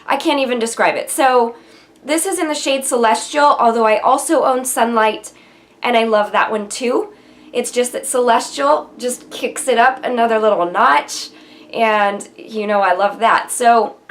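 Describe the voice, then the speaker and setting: A young woman with a nasal voice from a cold, chatting casually to the camera about makeup in her bedroom, with frequent ums. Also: a little high. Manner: chatty and enthusiastic, many ums. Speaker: beauty vlogger. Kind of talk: casual product review to camera. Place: bedroom with vanity and shelves, indoors.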